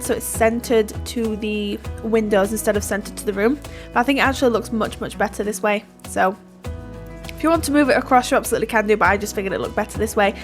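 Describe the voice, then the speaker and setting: A woman, stuffy from hay fever, chatting casually through a voiceover of her building game, giggling and self-deprecating. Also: a little high. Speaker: YouTuber narrating a Sims build.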